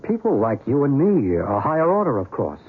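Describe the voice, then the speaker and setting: A man's voice: medium pitch, sing-song, fairly quick, slightly rough, phone-quality sound. An actor playing an earnest, philosophical male believer in otherworldly visitors, persuasive in old-time radio style. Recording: noisy, thin old radio recording.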